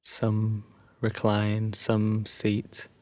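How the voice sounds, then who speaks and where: Very slow, low-voiced male meditation guide. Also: completely flat delivery, no echo. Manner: soothing, hushed, unhurried with long pauses. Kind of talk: guided sleep meditation narration, scripted storytelling.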